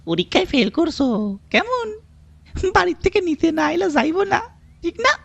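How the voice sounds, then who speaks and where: A man's voice: very high voice, sing-song, normal speed, vocal fry, a bit bright, muffled recording, voice pushed up, heavily acted. A man voicing a grinning, teasing school janitor in an audio story.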